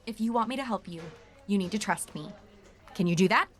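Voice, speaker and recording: A woman's voice: mid-range voice, brisk, a bit bright, faint music behind, close to an everyday voice. A woman acting a bossy, glamour-obsessed coworker in a romantic comedy radio play, emphatic and exacting. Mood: frank.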